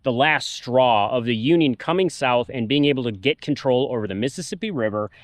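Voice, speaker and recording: A man of middle pitch talks a travel vlog outdoors into a camera mic, and the sound is dry and close. He explains history plainly and at length, in an easy conversational way. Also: lively intonation, fairly quick, no echo. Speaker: travel vlogger. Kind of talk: casual travel chat. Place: outdoors at a park.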